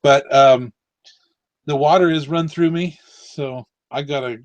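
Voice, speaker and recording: A man's voice: on the high side, steady tone, measured pace, lo-fi sound. A man in his late forties hosting a casual live video chat, rambling and correcting himself. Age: 40s. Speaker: live stream host.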